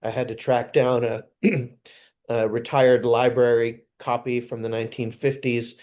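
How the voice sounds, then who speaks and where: A man from New York speaks slowly and evenly, his pitch barely moving. His voice is clear and smooth as he tells his story over a video call. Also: little pitch movement. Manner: measured storytelling with soft filler sounds. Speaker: guest introducing himself. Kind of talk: casual video call conversation.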